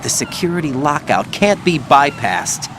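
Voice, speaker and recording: A man's voice: a little high, big pitch swings, moderate pace. An actor playing a young male special forces operative in a video game cutscene, wary and always questioning. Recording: game mix with clear background noise.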